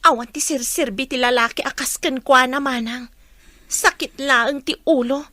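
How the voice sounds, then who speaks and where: A woman's voice: sits high, fairly even intonation, normal speed, sharp tone, dry recording. A Filipino-accented woman playing a frank, disdainful young woman in a radio drama, emphatic and dismissive.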